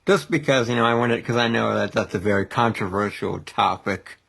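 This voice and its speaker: Gravelly, easygoing male podcast guest. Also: fairly low pitch, brisk, a bit bright. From Philadelphia area. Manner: easygoing, laughs often, short agreeing replies. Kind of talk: casual interview chat.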